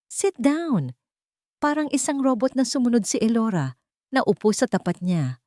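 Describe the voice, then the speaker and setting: A woman narrating a romance story in a dramatic, scripted style with deliberate, suspenseful phrasing. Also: fairly high pitch, very animated, mellow, no echo, studio quality.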